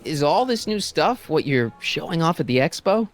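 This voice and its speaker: A man's voice: fairly high pitch, sing-song, moderate pace, faint music behind. An actor playing a skeptical, casual teenage boy who keeps asking incredulous questions in a video game. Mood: curious. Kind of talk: animated video game dialogue.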